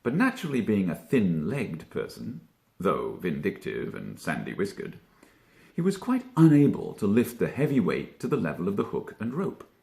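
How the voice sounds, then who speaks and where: A man narrating a children's story theatrically, expressive and deliberate, drawing out key words. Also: fairly low pitch, creaky. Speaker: storybook reader, stage actor.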